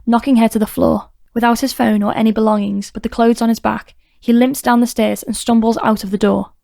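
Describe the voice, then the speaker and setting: Narrator, female: scripted crime audiobook reading, mellow tone, slightly high register, steady. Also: a little fast, gravelly, no echo, studio quality.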